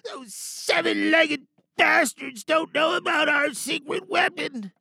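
Dying veteran soldier, extremely gravelly, slow and halting, urgent audio-drama delivery. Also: male voice, extremely high pitch, pushed and bright, no echo, shouting, studio quality, voice pushed up, exaggerated voice. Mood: urgent, gruff. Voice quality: creaky, strained. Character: dying battle-hardened soldier, infected, urging on lieutenant.

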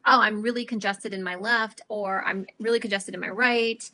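A woman co-hosting a casual chat, calmly explaining breathing practice. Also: mid-range voice, moderate pace.

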